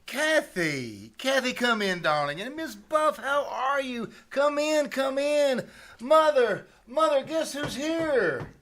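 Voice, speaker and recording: A man's voice: very high voice, very animated, slow, fairly bright tone, faint music behind, exaggerated voice. A man playing a jovial, welcoming uncle and proud father, boisterous in old-time radio drama style. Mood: excited welcome. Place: live on a small-town theater stage.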